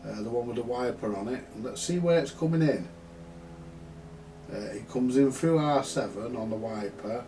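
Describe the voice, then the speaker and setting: A man giving halting, unscripted commentary at a workbench, muttering to himself as he works things out. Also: medium pitch, dark tone. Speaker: vintage radio repair hobbyist. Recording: distant mic with background hiss.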